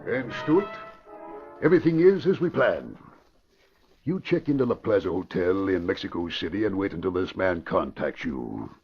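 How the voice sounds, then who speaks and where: Deep-voiced, scheming crooked boss. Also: male voice, very animated, normal speed, soft background music. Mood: instructing. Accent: American. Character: scheming middle-aged crooked investment boss, commanding and smooth. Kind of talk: 1940s radio crime drama acting. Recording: muffled old radio recording.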